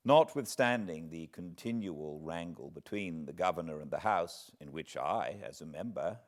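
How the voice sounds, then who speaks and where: Low-voiced British man reading aloud. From Britain. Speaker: audiobook narrator. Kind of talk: literary audiobook reading of a historical autobiography.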